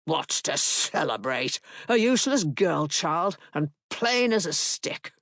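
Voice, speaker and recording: A woman's voice: sits low, sing-song, slow, fairly bright tone, no room sound, clean studio sound, heavily acted. A woman voicing a cold, contemptuous aristocratic father who talks in a harsh, sneering way.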